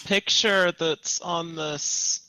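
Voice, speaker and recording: A man's voice: a little high, fairly even intonation, very slow, pushed and bright, no echo, poor audio. A man speaking as a podcast guest in casual, teasing, giggly banter.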